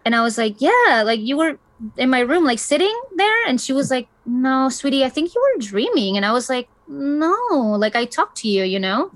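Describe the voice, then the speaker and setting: A woman's voice: high-pitched, very animated, normal speed, dry recording. Woman in her 30s from chile, chatty storyteller, lots of "like", dry close mic. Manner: chatty, many fillers like "like". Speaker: guest. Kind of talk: casual storytelling.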